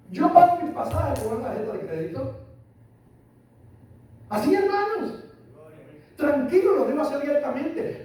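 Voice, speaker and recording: A man's voice: very high voice, warm tone. An older man with a Puerto Rican accent preaching a sermon as pastor, emphatic and repeating his phrases. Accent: Puerto Rican Spanish. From Puerto Rico. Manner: emphatic, repeats phrases, asks listeners "¿entiendes?". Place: church hall. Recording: echoey hall, voice from far off, faint room noise.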